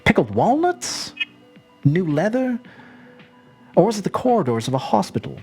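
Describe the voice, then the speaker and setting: A woman narrating a literary short story as an expressive storyteller. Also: on the low side, moderate pace, slightly rough, faint music behind.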